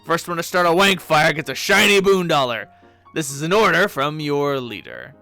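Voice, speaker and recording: A sly teenage girl troll, cocky and gleeful, her voice pitched high and swinging from taunts to cheering. A man acts her out, with a bright edge on his voice and faint music behind. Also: expressive, normal speed. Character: sly cocky teen female alien troll.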